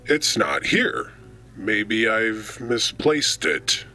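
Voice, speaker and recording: A man's voice: fairly low pitch, sing-song, drawn-out words, bright, forceful tone, soft background music, exaggerated voice. A man voicing a gloomy, courteous author of spooky books in a children's radio drama, speaking theatrically. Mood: worried.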